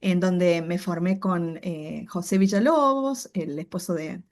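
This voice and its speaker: A woman's voice: fairly low pitch, a little slow. Middle-aged woman with Cordobese Argentine accent. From Córdoba, Argentina. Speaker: podcast guest, AI and branding entrepreneur. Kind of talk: casual interview chat.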